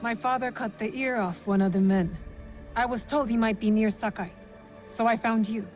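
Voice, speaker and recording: A young woman warrior of feudal Japan played by an actress in a game cutscene. Her voice sits on the high side, earnest and resolute with grief underneath. Music plays softly behind her. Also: steady tone, normal speed, natural voice. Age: young adult. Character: young female shinobi, resolute, grieving, set on vengeance. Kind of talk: dramatic video game cutscene acting.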